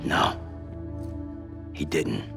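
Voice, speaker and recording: An actor playing a gruff, weary, stoic courier hero in a video game, with terse, blunt delivery. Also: male voice, very slow. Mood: grim, blunt. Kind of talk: video game cutscene acting. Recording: loud new-age music behind.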